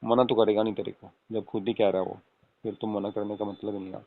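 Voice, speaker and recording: A man's voice: lowish voice, level tone, fast talker. A man playing an affectionate young husband on a casual late-night call, coaxing and often asking for agreement. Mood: coaxing, persuasive. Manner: coaxing, repeatedly seeking agreement with tag questions. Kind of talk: acted casual phone conversation with spouse. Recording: tinny phone-call audio with room echo.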